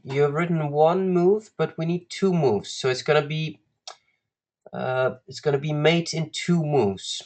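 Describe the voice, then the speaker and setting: A man from Iceland with an Icelandic accent, teaching a live online lesson as a coach in a halting, deliberate way with long pauses. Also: a little high. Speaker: guest chess coach. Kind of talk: live online lesson, talking through puzzles to students.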